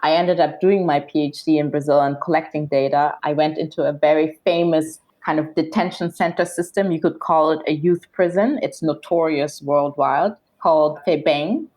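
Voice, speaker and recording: A woman from Germany speaks as a podcast guest with a notably low-pitched voice. She tells her story in a steady, reflective way, often leaning on "you know" as she thinks. Her delivery is conversational and unhurried. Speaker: podcast guest, social scientist. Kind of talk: casual interview chat.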